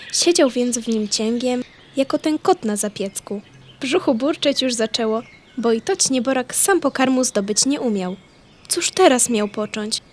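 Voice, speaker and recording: A woman with a fairly high voice reads a children's story as narrator. Her telling is expressive and folksy. Faint noise and birdsong sit behind her.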